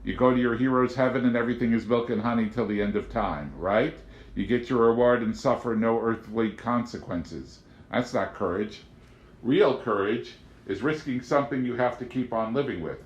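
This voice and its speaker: Man voicing a gently defiant young woman, roomy sound. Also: mid-range voice, moderate pace, muffled recording, natural voice. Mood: earnest, challenging. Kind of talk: audiobook character voice. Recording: roomy, echoing home recording.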